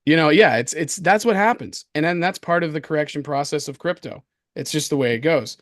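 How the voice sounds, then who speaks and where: A man with a hoarse voice co-hosts a casual market commentary show, talking in an excitable, slangy, repetitive way. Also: a little high, a little fast, dry recording, studio quality. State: hoarse from coughing. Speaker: crypto show co-host.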